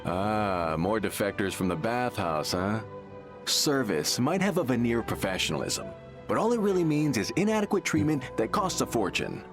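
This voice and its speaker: A man's voice: fairly low pitch, very animated, moderate pace, over music. An actor playing a condescending, disdainful male devotee in a video game, with a haughty, scornful delivery.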